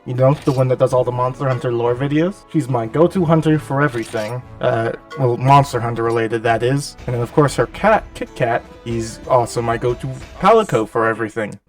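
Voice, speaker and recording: A man with a cold and a nasal, slightly gravelly voice, giving chatty, self-deprecating voice-over commentary over gameplay. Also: medium pitch, fairly quick, hardly any pauses, far from the mic, faint music behind. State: has a cold. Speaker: gaming channel host.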